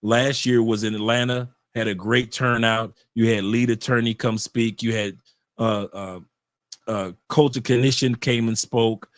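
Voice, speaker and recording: Male guest, conversational and lively, loops back over his points; mid pitch, dry close sound. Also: a little slow, no room sound. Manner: animated storyteller, repeats phrases, frequent restarts. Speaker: guest, YouTube channel creator and author. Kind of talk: casual conversational interview. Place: home in Colombia.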